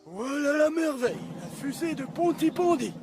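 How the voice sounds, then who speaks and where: A man's voice: extremely high pitch, animated, moderate pace, music in the background. A man playing a genial, folksy old grandfather and proud train engineer in a French-dubbed cartoon. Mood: proud, explaining. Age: older.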